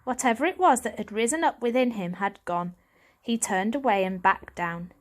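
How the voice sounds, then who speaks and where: High-pitched woman reading a story. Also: moderate pace. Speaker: audiobook reader. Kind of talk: scripted children's story narration.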